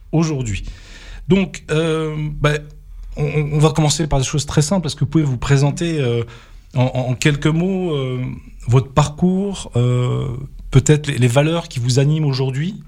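A man hosting a local radio interview, talking in a hesitant way with many pauses and restarts into a studio microphone. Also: mid-range voice, mellow. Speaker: radio show host.

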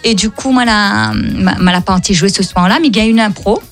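A woman's voice: medium pitch, fairly quick, faint music behind. A woman from Réunion with a Réunionese accent, Creole as her first language, chatting as a comedian guest and telling stories with lots of repetition and laughter. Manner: lively, repetitive, laughs often. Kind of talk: casual storytelling interview.

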